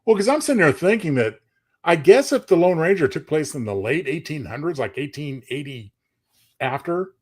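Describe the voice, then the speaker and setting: A man's voice: fairly high pitch, big pitch swings, moderate pace, darkish tone, husky. A man co-hosting a show in casual chat, working things out aloud a little tentatively. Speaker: show co-host.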